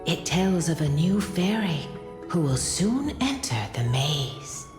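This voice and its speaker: An actress playing a grave, mystical village chief in a video game, speaking in a measured way. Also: female voice, low voice, sing-song, exaggerated voice. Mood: grave, foreboding. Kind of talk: scripted game voice acting. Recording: echoing hall reverb, music behind.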